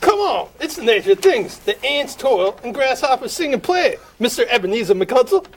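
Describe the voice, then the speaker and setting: A man's voice: very high voice, very animated, moderate pace, exaggerated voice. A man playing a brash, teasing businessman in a comic table read. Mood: mocking. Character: mocking male businessman at the exchange.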